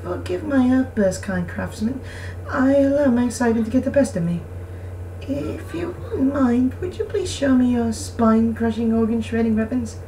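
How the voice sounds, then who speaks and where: A woman's voice: a little high, animated, normal speed, mellow, echoey room, voice pushed up. A woman voicing a formal, haughty young noble swordswoman from a visual novel game. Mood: prim, apologetic. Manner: formal, prim, earnest. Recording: distant mic, faint background noise.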